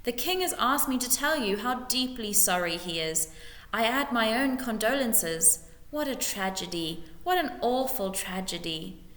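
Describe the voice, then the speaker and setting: A woman's voice: a little high, expressive, measured pace, fairly bright tone. A woman voicing a scheming, bullying male lord in a storybook reading, with snarled orders and oily false sympathy. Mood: falsely sympathetic. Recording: echoey room with long reverb.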